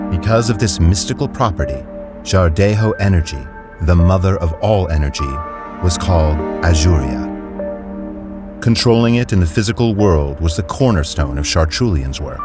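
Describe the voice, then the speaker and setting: A man narrating an audio drama in a measured, descriptive way. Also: deep voice. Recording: clear music bed underneath.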